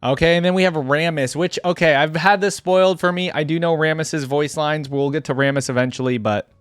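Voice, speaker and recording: A man's voice: a little high, steady tone, fairly quick, smooth, no room sound, studio quality. A man giving casual reaction commentary as a video host, musing and thinking aloud as he goes. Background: faint music.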